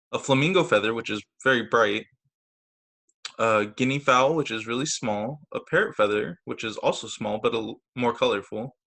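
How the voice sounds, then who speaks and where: A man teaching young children through a read-aloud storytime on a video call, with expressive, deliberate phrasing. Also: mid-range voice. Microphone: video call microphone.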